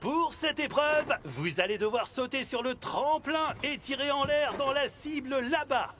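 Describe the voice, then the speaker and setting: An actor voicing an encouraging truck host in a children's cartoon, announcing in an animated, exclamatory way. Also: high-pitched, unhurried. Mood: instructing. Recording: loud music behind the voice. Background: loud cartoon music.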